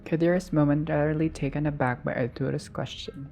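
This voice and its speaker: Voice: medium pitch, fairly even intonation, a little fast, vocal fry, mellow. A game streamer narrates a visual novel in a let's play, with faint music underneath. The voice moves between reading the text and blunt, sweary asides. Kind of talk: let's play with read-aloud dialogue and casual reactions.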